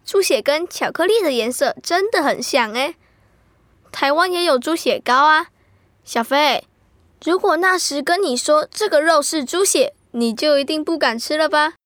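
A woman's voice: sits high, lively intonation, moderate pace, a bit bright, no echo, clean studio sound. A woman playing an enthusiastic, curious, food-loving child in an educational radio drama. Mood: curious, chatty.

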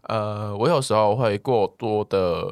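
A talkative, expressive man co-hosting a podcast, chatting casually as he replies to listener comments. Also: lowish voice, measured pace, smooth, dry recording, clean studio sound. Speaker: podcast co-host.

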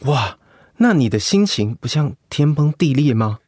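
An actor playing a blunt, sociable middle-aged ex-marine patient in a Mandarin radio drama. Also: male voice, mid-range voice, very animated, unhurried, a little mellow, no room sound, studio quality. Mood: curious.

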